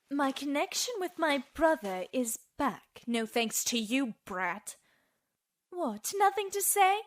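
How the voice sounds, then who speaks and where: A woman's voice: a bit bright, heavily acted. A woman playing an arrogant, cruel wind sorceress in an audio drama, with a haughty, theatrical delivery that taunts and threatens. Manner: theatrical, haughty, swinging from purring menace to shrill shrieks.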